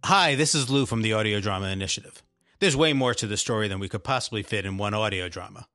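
A man's voice: on the low side, fast talker, pushed and bright, soft background music. A man representing a show, delivering a scripted promotional message straight to listeners.

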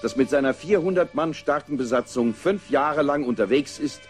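A man's voice: medium pitch, expressive, moderate pace, lightly acted. A man narrating the dramatic opening of a space adventure TV series in a solemn manner. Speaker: narrator of a science fiction TV series intro. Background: music.

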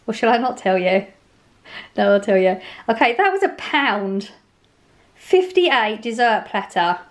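Chatty woman rambling about bargains. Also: fairly high pitch. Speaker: vlogger. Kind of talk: casual grocery haul chat. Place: home kitchen, indoors.

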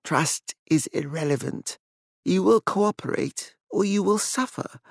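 A man's voice: high-pitched, unhurried, gravelly, no room sound, clean studio sound, pitched above the performer's own voice. A man voicing a cold, commanding woman who speaks in a level, formal, severe way. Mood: cold, stern.

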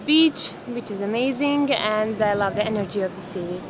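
A woman's voice: mid-range voice, moderate pace, background noise. A woman, answering cheerfully in an outdoor park. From Montenegro. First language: Serbian. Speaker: interviewee. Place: outdoors in a park with palm trees.

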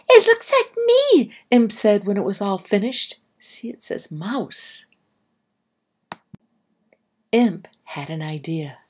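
Unhurried, husky woman reading to children. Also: fairly low pitch, a little mellow. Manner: simple, instructive, addressing children directly. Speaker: storybook reader and drawing guide. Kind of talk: read-aloud to young children.

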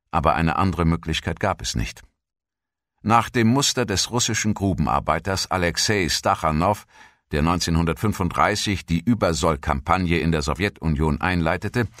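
Deep-voiced man narrating a documentary. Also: fairly bright tone, no room sound, studio quality.

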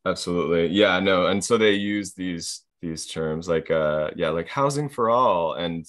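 A man's voice: deep voice, unhurried, a bit bright. A man in casual conversation, rambling with many fillers and a sardonic edge.

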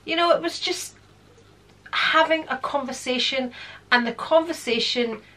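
A woman's voice: sits high, unhurried. A woman in her fifties, born in London, with a Scottish accent tinged by London Greek, chatting and rambling to camera at home. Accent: Scottish with some London Greek. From London, England. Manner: chatty, rambling, expressive hand gestures. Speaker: channel host. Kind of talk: casual to-camera vlog chat. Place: home room with shelves of handbags.

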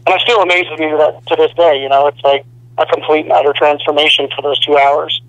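Young rock singer on the phone. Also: male voice, a little high, brisk, dry recording. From Arizona. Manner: relaxed, rambling with many you-knows. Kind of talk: phone interview. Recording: dry telephone line.

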